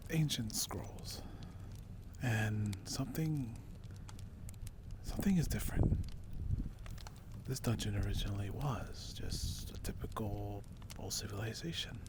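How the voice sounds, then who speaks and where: A man voicing a brave but wary barbarian recruiter in a dark fantasy ASMR roleplay, speaking in a hushed, halting way. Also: lowish voice, background noise. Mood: hushed, ominous. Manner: slow, hushed, halting delivery with pauses. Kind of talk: ASMR roleplay, whispered and softly spoken.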